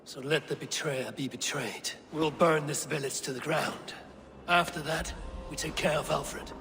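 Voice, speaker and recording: An actor playing a measured, strategic Viking war leader in a video game, solemn and deliberate in archaic phrasing. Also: male voice, a little high, steady tone, gravelly. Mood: resolute. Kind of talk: dramatic game cutscene acting. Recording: music behind the voice.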